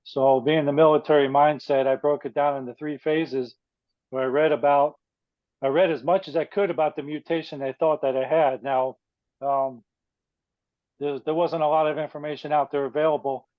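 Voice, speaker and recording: A man's voice: a little high, fairly even intonation, no room sound. Plainspoken man in his fifties, muffled. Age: 50s. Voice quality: measured, plainspoken, with frequent pauses and fillers. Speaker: guest speaker. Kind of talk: personal talk to a support group.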